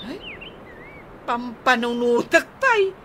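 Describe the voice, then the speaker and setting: A woman acting an excitable, fussing friend in a comic radio drama, exclamatory and disbelieving, with an Ilocano accent. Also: fairly high pitch, slow. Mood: nagging disbelief. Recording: noisy radio drama recording.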